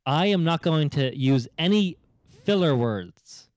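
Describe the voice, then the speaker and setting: Giggly young Dublin man, lively voice. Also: fairly high pitch, unhurried, dry recording, studio quality. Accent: Dublin Irish with an American tinge. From Ireland. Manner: chatty, giggly, animated storyteller. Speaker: podcast co-host. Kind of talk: casual podcast chat.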